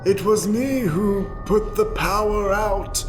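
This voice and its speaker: An indignant courtroom ghost, a man played by a woman, speaking extremely slowly and melodramatically in an improvised radio play. The voice rings in a roomy echo with music clearly behind it. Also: medium pitch, lightly acted. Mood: ominous, theatrical. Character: indignant, theatrical male courtroom phantom.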